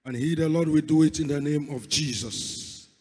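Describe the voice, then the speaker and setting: Hoarse man preaching in a reverberant hall. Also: fairly high pitch, steady tone, normal speed, mellow. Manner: fervent, repeats calls to God. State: hoarse, lost voice. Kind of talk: sermon with opening prayer. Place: church hall.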